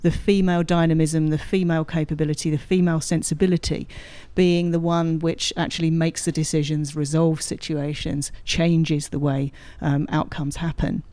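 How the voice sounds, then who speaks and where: A woman's voice: deep voice, flat delivery, moderate pace, hardly any pauses, warm tone. A woman giving articulate, explanatory answers as an expert guest in a radio interview, thinking aloud as she goes. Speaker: guest academic expert, drama lecturer. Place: radio studio.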